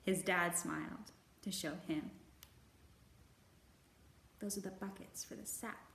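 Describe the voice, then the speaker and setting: A woman's voice: fairly low pitch, creaky. Woman reading a children's storytime, roomy echo. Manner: slow, deliberate, expressive phrasing. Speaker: children's librarian hosting storytime. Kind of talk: picture book read-aloud for young children. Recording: roomy echo, faint background noise.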